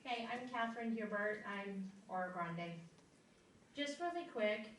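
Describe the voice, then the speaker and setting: A woman teacher speaking up at a public meeting, loud and earnest, talking in a deliberate way. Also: medium pitch, lively intonation, slight background noise. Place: large meeting room. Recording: echoey meeting room from far off.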